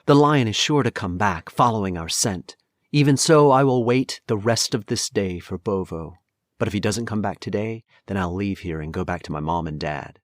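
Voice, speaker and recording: A man's voice: low-pitched, fairly quick, few pauses, no room sound, studio quality, natural voice. A man voicing a passionate, anxious young noblewoman in a storybook, pleading and emotive. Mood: fearful, resolute. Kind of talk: dramatic storybook reading.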